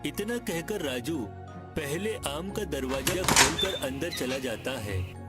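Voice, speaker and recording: A man narrating a scripted children's story. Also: on the high side.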